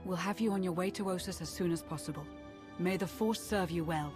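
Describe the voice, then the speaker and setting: Dutiful game aide, male actor: notably high voice, courteous, with clear music behind. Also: a little fast, lightly acted. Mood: dutiful, approving. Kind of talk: video game cutscene acting.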